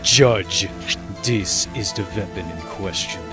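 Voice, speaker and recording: A man voices a haughty courtroom prosecutor who drawls slowly in a low voice, savoring every condescending word. He sounds pompous and theatrical. Courtroom music plays clearly behind him in this audio drama. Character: arrogant bossy male prosecutor, middle-aged. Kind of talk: fan audio drama acting. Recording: voice over background music.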